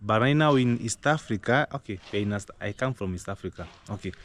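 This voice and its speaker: A man's voice: on the low side, animated, brisk. A young Kenyan man hosting a casual village vlog, chatty and upbeat, repeating his phrases. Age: young adult. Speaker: vlog host. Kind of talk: casual outdoor vlog chat. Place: village compound outdoors. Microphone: action camera, no mic. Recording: noisy outdoor camera audio.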